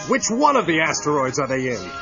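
Guy playing determined ranger boss in a kids story, kinda high for a man, dull tape. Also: big pitch swings, brisk, vocal fry. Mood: demanding. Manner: forceful. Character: determined, commanding male space ranger leader. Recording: dull cassette with faint music. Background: faint music.

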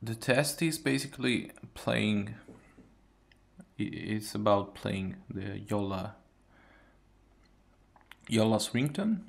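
A man giving casual hands-on commentary as a tech hobbyist, in a halting way with pauses between phrases. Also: on the low side, expressive, vocal fry. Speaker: tech hobbyist demonstrating a phone mod. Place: indoors, at a wooden table.

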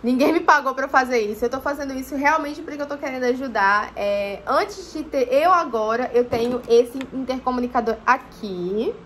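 A woman's voice: high voice, normal speed, hardly any pauses, a bit bright, slight background noise. A young adult woman giving casual to-camera tips on motorcycling gear in her living room, chatty and full of fillers. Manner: chatty, often says "gente", many fillers. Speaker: motorcycling vlogger.

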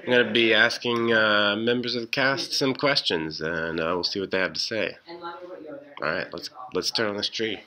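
A young man hosting a casual interview, speaking in halting, hesitant phrases. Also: lowish voice, expressive, crowd noise. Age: young adult. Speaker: interview host, playwright. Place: indoors, small hall with cinder-block walls.

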